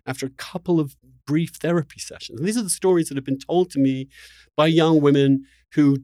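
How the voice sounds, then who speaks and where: A man hosting a spirited interview debate, halting and insistent, often repeating words mid-sentence. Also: a little high, fairly even intonation, dark tone, dry recording, clean studio sound. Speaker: interview host.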